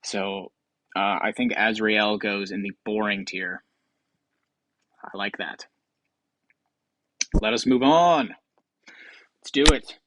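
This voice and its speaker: A man's voice: on the low side, sing-song, takes it slowly, creaky, long pauses, fairly bright tone, dry recording, poor audio. A man giving casual, rambling commentary as a video host, hesitant and self-deprecating, close to the mic. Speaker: video host ranking game voice lines.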